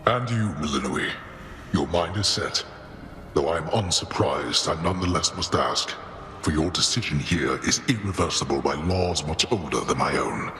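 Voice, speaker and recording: A stern, weary ruler of the underworld in a fantasy game. The voice is extremely deep and forceful, with formal, measured commands ringing through an echoing hall over music. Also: male voice, expressive, full character voice. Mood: grave, resigned. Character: stern, weary god king of the underworld, father.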